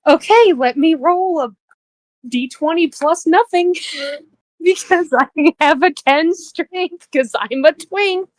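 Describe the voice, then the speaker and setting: A woman's voice: extremely high pitch, slow, no echo. A woman chatting casually as a player in a tabletop roleplaying game.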